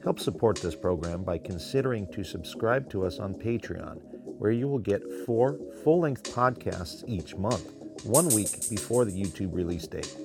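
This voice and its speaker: American male podcast host, lowish voice, Midwest upbringing, faint music. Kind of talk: casual interview chat, with a read-out intro.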